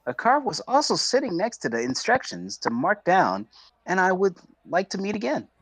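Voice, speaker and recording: Male streamer narrator, mid-pitched, lively delivery, casual reading, dry close mic. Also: normal speed, husky, no room sound. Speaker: streamer reading a visual novel aloud.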